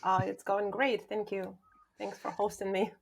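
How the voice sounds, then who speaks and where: A woman speaks as a guest on a podcast, explaining her work in a measured, thoughtful way. Her voice carries a noticeable vocal fry, and she often pauses with filler sounds while searching for words. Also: medium pitch, little pitch movement. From Ukraine. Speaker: guest, product manager. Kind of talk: podcast interview.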